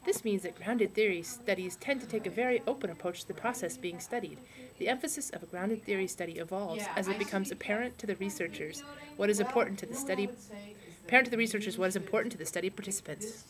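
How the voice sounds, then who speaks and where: A woman voicing a deadpan male sociologist puppet, reading out a comic lecture in a casual way. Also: medium pitch, quick pace, a crowd in the background, natural voice. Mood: lecturing.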